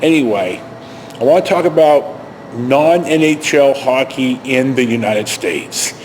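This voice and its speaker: An older, retired man telling stories casually to camera in a halting, rambling, self-correcting way. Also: mid-range voice, lively intonation, a little mellow, slight background noise, poor audio. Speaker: video storyteller.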